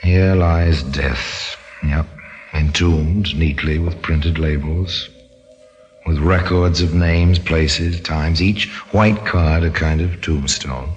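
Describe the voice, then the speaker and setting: A man hosting and narrating an old-time radio mystery, wry and deliberate, with a macabre relish. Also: extremely low pitch, fairly even intonation, lo-fi sound, faint music behind. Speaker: narrator and host.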